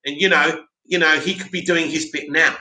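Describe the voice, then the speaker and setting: A man hosting a live stream, reading out viewer comments and answering them in a loud, opinionated way with lots of restarts. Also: on the high side, steady tone, moderate pace. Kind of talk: casual live chat. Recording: echoey room.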